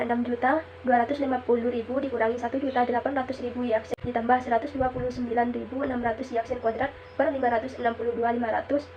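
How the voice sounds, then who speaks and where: Quick young woman reading math steps. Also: a little high. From Indonesia. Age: young adult. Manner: steady reading with frequent "eh" fillers. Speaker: student presenter. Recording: dull lo-fi recording with faint background noise.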